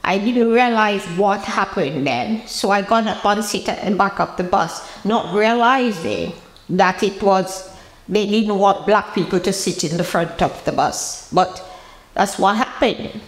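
An elderly woman with a Jamaican accent shares personal memories as an interviewee. Her voice sits at an average pitch for a woman. The indoor room gives it a clear echo. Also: measured pace. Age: 80s. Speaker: interviewee recalling her past. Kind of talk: personal reminiscence to camera. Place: indoor room with bare walls, seated interview. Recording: roomy, echoing indoor interview.

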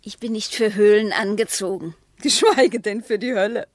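A woman's voice: medium pitch, moderate pace, no room sound, clean studio sound, lightly acted. An actress playing a sceptical, needling wife in a radio drama, terse and sardonic, with bitter mockery in her delivery. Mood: dismissive, cool. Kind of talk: German radio drama acting.

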